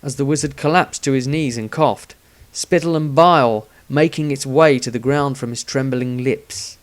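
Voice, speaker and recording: Casting: male audiobook narrator, average pitch, dramatic scripted fantasy storytelling. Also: moderate pace. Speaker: author narrating his own story.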